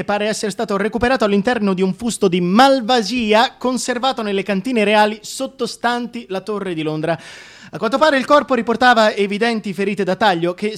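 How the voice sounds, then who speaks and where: An actor playing a pompous, formal television newsreader who delivers a mock bulletin in wordy, officious style. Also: male voice, high voice, close to an everyday voice.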